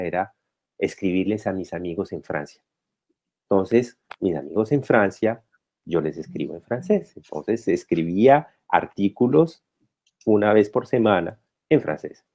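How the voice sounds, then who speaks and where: A man from Colombia speaking as a guest writer, talking reflectively and pausing to restart his thoughts, recorded over a video call. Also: lowish voice, warm tone. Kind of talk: conversational talk.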